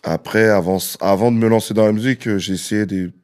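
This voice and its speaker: A man from France, a guest DJ in an interview, relaxed and joking, with frequent fillers. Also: lowish voice, quick pace.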